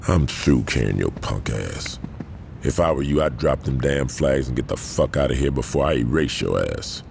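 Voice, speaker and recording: A hardened gang boss in a video game cutscene, his voice very low and heavy. He speaks with slow, deliberate menace, with a faint rumble behind him. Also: animated, creaky, a little mellow, full character voice. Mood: menacing. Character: commanding aging male gang boss.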